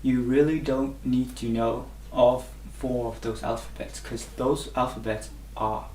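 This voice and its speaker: A man's voice: mid-range voice, fairly even intonation, measured pace, mellow, roomy sound, a little hiss or hum. A man whose first language is Burmese, teaching a language lesson and patiently repeating each sound three times. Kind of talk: slow instructional lesson.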